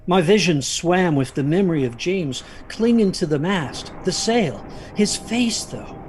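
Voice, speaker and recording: An actor playing a haunted older grandfather, husky-voiced, telling a ghost story of his youth with halting intensity. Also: sits high, lively intonation, noisy background. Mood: haunted, tense. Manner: halting, intense, repeats himself. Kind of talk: dramatic storytelling.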